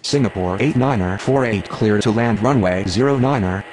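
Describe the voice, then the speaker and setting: Male actor as airport radio man, slightly low pitch, businesslike, clipped phraseology, light vehicle ambience. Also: normal speed, a little mellow, a little hiss or hum, natural voice. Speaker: air traffic radio voices in a game.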